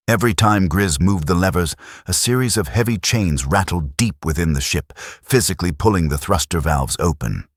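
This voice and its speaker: A man narrating a science fiction audiobook with dramatic, expressive storytelling. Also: a bass voice, breathy edge, no room sound, clean studio sound.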